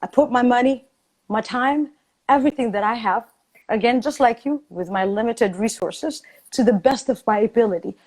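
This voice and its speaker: A woman's voice: fairly high pitch, normal speed, a little mellow. A woman arguing passionately in a heated debate, insistent and repeating her points for emphasis. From born in Addis Ababa, Ethiopia. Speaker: debate guest, refugee advocate. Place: lives in New York City.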